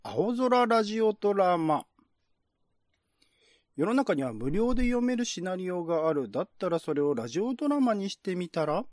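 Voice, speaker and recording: High-pitched, animated man presenting radio drama. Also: moderate pace, no room sound, studio quality. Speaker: radio drama host and narrator. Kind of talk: casual hosting and dramatic script reading.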